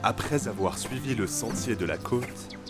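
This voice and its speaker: A man with a lowish voice narrates a fantasy audio drama. He reads the scripted story as its narrator, with loud music running underneath. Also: unhurried.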